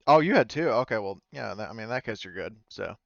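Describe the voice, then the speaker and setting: A man's voice: fairly low pitch, animated, fast, gravelly, fairly bright tone, no room sound, lo-fi sound. A man speaking as game master, narrating off the cuff in a casual, accommodating and hesitant way. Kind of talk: improvised game narration and casual table talk.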